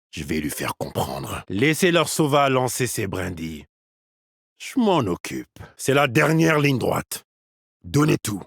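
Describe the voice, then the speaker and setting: A man's voice: fairly low pitch, creaky, breathy edge, no room sound, heavily acted. An actor playing a cocky male combat agent who teases his teammates, dubbed for a game in a dry studio. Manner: cocky, teasing, trash-talking. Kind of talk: French game dub voice lines.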